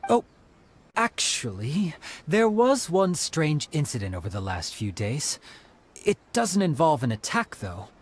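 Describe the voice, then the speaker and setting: An actor playing a friendly, helpful young man in a game who shares leads, with an eager, expressive manner. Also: on the high side, measured pace, slight background noise. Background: game music.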